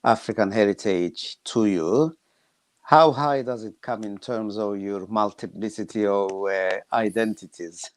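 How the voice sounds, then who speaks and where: Older man hosting, slow and dry. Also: on the low side, no room sound. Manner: laughs often, asks questions warmly. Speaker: host. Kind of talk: hosting an online panel. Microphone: video call.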